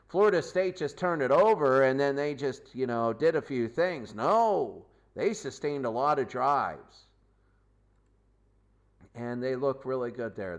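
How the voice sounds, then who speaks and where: Man rambling through a football recap. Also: mid-range voice. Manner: rambling, self-correcting, lists stats. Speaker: sports show host. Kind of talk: unscripted football recap commentary.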